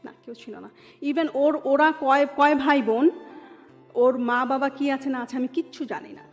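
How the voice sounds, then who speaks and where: A woman with a fairly high voice and a Bangladeshi accent recounts personal experiences in a conversational way. She drops English words into her sentences. The sound is a little dull, with long reverb and music behind her. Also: steady tone, normal speed. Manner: mixes English words into Bengali. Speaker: interview guest telling her life story.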